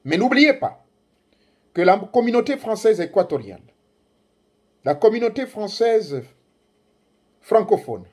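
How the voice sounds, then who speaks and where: A man with a husky, slightly raised voice speaks with conviction. His first language is Ngomienne, and his delivery is emphatic and declamatory, with sudden rises on key words. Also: sits high, sing-song, measured pace, pauses often. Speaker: commentator addressing African youth. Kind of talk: impassioned political address to camera.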